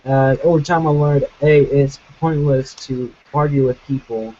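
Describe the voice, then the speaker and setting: A man's voice: mid-range voice, fairly even intonation, moderate pace, warm tone. A young man chatting casually as the host of a group call stream. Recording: dull noisy internet call audio.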